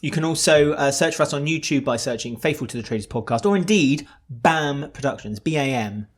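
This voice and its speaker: A man's voice: on the high side, expressive, a little fast. A young man co-hosting a casual podcast chat, playful and laughing often as he rambles through plugs and jokes. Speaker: podcast co-host.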